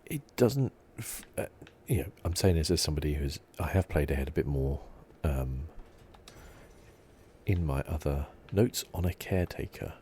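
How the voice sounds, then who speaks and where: A man giving soft, relaxed, musing ASMR commentary as host of a video game playthrough. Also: rumbling low, moderate pace, slight background noise. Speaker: gaming channel host.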